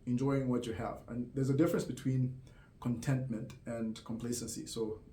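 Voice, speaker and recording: A man's voice: medium pitch, fairly even intonation, moderate pace. A man from Zambia talking casually to camera, thoughtful and hesitant, with lots of "um" and "like" and small laughs. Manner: hesitant, many fillers and soft laughs. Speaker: vlogger. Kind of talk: casual reflective monologue. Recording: roomy echo.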